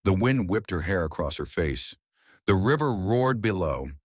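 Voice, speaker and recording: A man reading a psychological thriller audiobook with tense, dramatic pauses. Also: low-pitched, sing-song, no room sound, clean studio sound. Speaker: audiobook narrator. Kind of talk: scripted thriller narration.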